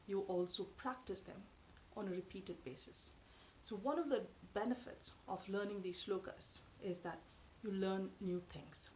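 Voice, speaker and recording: A woman in her thirties whose first language is Tamil, talking to camera from a sofa in her living room in a measured, thoughtful way with frequent pauses. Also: medium pitch, darkish tone, slight background noise, low-quality recording. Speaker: vlogger. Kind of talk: explanatory talk to camera. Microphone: camera in front at close range.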